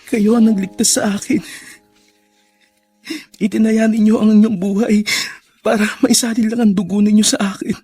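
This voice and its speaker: A man's voice: sits high, flat delivery, normal speed, pauses often, mellow, breathy edge, soft background music. A Filipino man acting an earnest, repentant son in a radio drama, pleading and emotional. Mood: grateful, remorseful. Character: repentant adult son in hospital, tearful and earnest.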